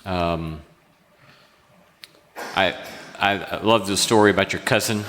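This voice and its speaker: Low, bright-voiced male interviewer in a hall. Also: slow, slight background noise. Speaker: host, interviewer. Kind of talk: live on-stage interview. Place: live event in a hall. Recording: reverberant hall.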